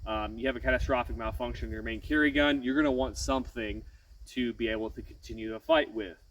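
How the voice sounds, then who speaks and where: A man's voice: medium pitch, fairly even intonation, quick pace, a bit bright, recorded from a distance, a little hiss or hum, rough recording. A man with a head cold explaining things casually as a channel host, nasal-sounding and filling gaps with lots of ums and restarts. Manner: frequent "um" fillers and self-corrections. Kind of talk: casual firearms explainer to camera.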